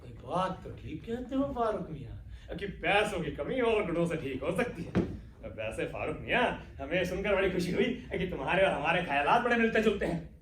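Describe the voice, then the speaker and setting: A man's voice: sits high, sing-song, fairly quick. A man acting a suspicious, irritable old miser of a father in a stage play, blustering and wheedling by turns. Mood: sly, coaxing. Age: young adult. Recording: distant, echoey, noisy live stage recording.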